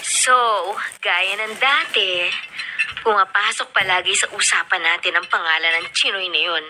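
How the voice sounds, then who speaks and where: A woman's voice: medium pitch, normal speed, natural voice. An actress with a Filipino accent playing a polite but firm, principled young woman in a radio drama. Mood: firm, defiant. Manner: polite, firm, code-switching into English. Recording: noisy radio drama recording.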